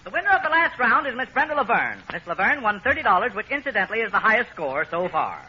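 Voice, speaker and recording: An actor playing an excitable quiz show host with formal, exasperated politeness and an American accent in a 1940s radio comedy. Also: male voice, high voice, fast talker, full character voice. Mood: brisk, official. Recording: dull, noisy old radio recording.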